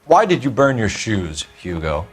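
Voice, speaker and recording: A man's voice: fairly low pitch, sing-song, measured pace, breathy edge, heavily acted. A man playing an earnest, driven 1940s police detective in a crime video game, speaking in a clipped, insistent, formal way. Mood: pressing, stern. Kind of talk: dramatic period crime game acting.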